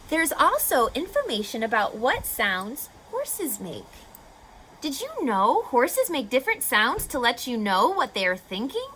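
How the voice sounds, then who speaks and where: Female children's read-aloud host, lively swooping pitch, expressive teacherly delivery, light background noise. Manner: expressive, addresses children with questions. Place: on location at a horse facility.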